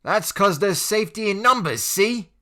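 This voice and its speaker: Brief: male reader doing gloating teen sidekick, high and bright. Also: very animated, normal speed, heavily acted. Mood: jeering. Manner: jeering, gloating. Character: jeering teenage boy sidekick.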